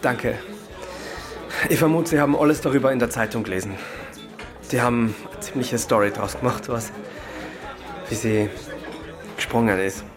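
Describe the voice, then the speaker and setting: An actor playing a bereaved, bitter husband, halting and intense, in a radio crime drama. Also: male voice, mid-range voice, music in the background, a crowd in the background, close to an everyday voice. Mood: grieving.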